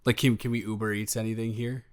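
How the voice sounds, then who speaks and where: A man playing an excited, affectionate boyfriend on vacation in an improvised roleplay audio, rambling and stammering playfully. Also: on the low side, fast talker, close to an everyday voice. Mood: playful, bantering.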